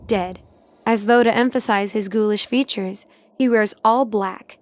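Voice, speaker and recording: A woman reading scripted narration for an audio drama. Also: on the high side.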